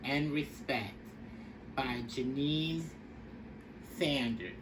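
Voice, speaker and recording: Female storybook reader, instructive and very measured, slightly noisy recording. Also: rumbling low. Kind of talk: read-aloud of a children's picture book. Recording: distant mic with faint background noise.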